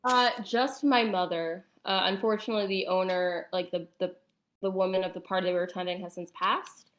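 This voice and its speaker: Woman with a lowish voice telling her own story, chatty, kinda halting. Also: steady tone, a bit bright. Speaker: guest telling personal story. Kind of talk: casual conversational storytelling.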